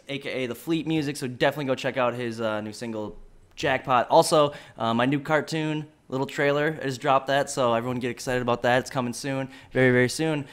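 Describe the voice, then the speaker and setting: A hoarse man hosting a podcast in a laid-back way, with lots of "uh" and "um" between his thoughts. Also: mid-range voice. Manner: laid-back, full of "uh" and "um" fillers. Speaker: podcast host. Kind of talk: casual podcast interview.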